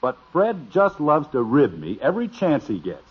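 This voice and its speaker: A man's voice: fairly high pitch, wildly swooping pitch, normal speed, husky, highs cut off, exaggerated voice. An American actor playing a stubborn, teasing middle-aged father in a 1950s radio comedy, blustery and emphatic, on an old broadcast recording. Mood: exasperated.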